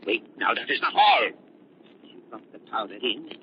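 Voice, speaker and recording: An actor playing an embittered, paranoid older scientist who feigns kindness, in theatrical 1930s radio melodrama style. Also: male voice, lowish voice, huge pitch swings, moderate pace. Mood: sly, eager. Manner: theatrical, volatile, swinging from soothing to ranting. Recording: muffled, lightly noisy 1930s radio recording.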